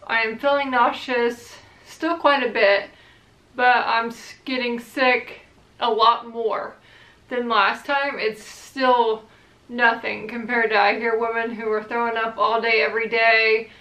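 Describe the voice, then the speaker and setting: A young woman chatting casually to her channel's viewers, relaxed and rambling with plenty of fillers. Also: fairly high pitch, a little slow, echoey room, rough recording. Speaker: vlogger. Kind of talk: casual chat to camera.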